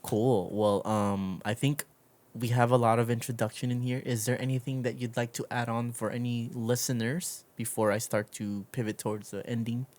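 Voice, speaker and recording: A man's voice: mid-range voice, fairly even intonation, moderate pace, darkish tone. A man hosting a podcast in a casual conversational style, speaking informally and feeling his way through his sentences. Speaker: podcast host.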